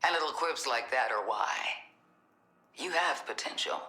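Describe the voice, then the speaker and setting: Middle-aged female director, video game, authoritative, coolly manipulative, sharp tone, echoing room. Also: extremely low pitch, lively intonation, heavily acted. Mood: cool, persuasive. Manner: measured, authoritative.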